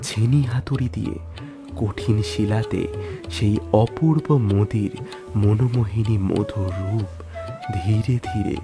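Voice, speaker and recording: A man reads a literary tale as an audio story narrator. His voice sits fairly low and he takes it very slowly, setting phrases apart with solemn, deliberate pauses. Instrumental music plays clearly behind him. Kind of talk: scripted dramatic literary storytelling. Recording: music under the voice.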